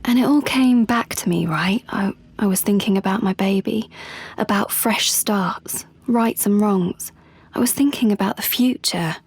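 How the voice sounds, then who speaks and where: An actress playing an anxious, defensive young British woman in an audio drama, speaking in a breathy, hesitant way. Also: medium pitch, a little slow, no echo. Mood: anxious, confiding. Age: young adult. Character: anxious, defensive young pregnant woman, confiding yet pushy. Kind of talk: audio drama monologue and dialogue.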